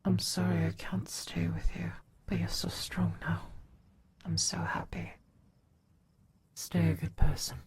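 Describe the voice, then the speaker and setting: A woman playing a monstrous huntress near death in a gothic audio drama, husky and breathy, speaking in a slow, halting, weary way. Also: lowish voice, a little mellow, heavily acted. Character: dying monstrous hunter woman, bitter yet tender kin.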